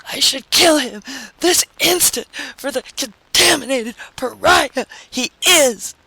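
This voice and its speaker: A man's voice: expressive, slow pace, vocal fry, dry recording, full character voice. A man voicing an enraged, jealous husband with a nasal, gasping voice, ranting in short phrases in a vintage radio science fiction drama. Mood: enraged yelling. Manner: shrill, screechy, rising to yells. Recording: noisy vintage radio recording.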